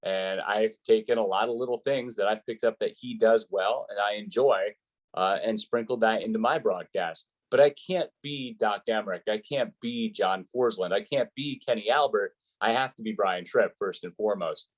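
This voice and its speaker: A man's voice: on the low side, normal speed, lo-fi sound. An American man, a sports play-by-play announcer, giving advice conversationally in an interview and explaining with examples and rhetorical questions.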